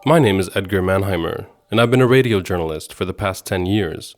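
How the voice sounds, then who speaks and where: A man with a low-pitched voice hosts a podcast. He reads scripted narration in a measured, articulate way, like a radio journalist introducing his program. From Sweden, grew up in Egypt and Jordan.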